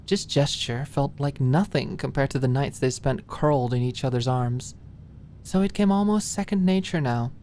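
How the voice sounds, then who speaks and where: Low-pitched woman narrating an audiobook. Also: normal speed, mellow, a little hiss or hum. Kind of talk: scripted story narration, ASMR audiobook.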